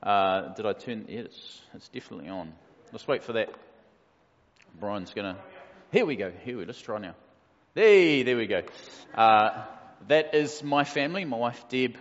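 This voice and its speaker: A man in his forties with a New Zealand accent, a pastor talking casually to his church, easygoing and joking with plenty of fillers. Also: mid-range voice. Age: 40s. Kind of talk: casual church welcome talk. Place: church hall. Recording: echoey hall, dull lo-fi sound.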